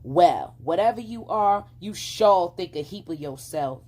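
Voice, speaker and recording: A woman's voice: fairly low pitch, lively intonation, a little slow, a little mellow. A woman voicing a sassy, fearless little country girl in a storybook, matter-of-fact, speaking folksy rural dialect. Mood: skeptical, unimpressed.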